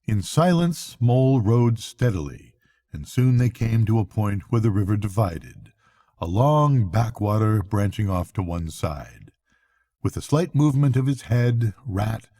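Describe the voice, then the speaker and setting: A man's voice: on the low side, lively intonation, a little slow, mellow, slightly rough, no echo, clean studio sound. An older man narrating a classic storybook as bedtime reading.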